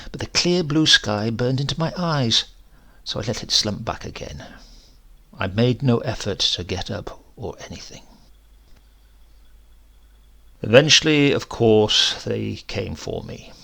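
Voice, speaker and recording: A man reading a horror story as a shaken, rambling accident survivor, halting and conversational, brooding and prone to outbursts. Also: mid-range voice, creaky, a bit bright, full character voice. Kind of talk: dramatic first-person horror story reading.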